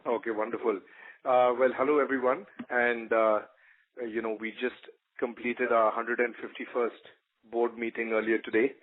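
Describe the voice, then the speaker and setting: A man speaks as an executive in a semi-formal, appreciative address. His mid-pitched voice stays very even, with halting phrasing. It comes over a narrow, tinny phone line. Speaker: company executive. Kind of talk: semi-formal thank-you address on a conference call.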